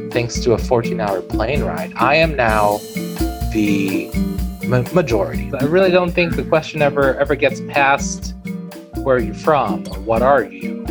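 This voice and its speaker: A man's voice: medium pitch, expressive, unhurried. A man born in China speaking as a guest in an interview, reflective, restarting phrases with many "you know"s. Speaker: guest, chiropractor. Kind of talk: interview conversation. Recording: music playing underneath.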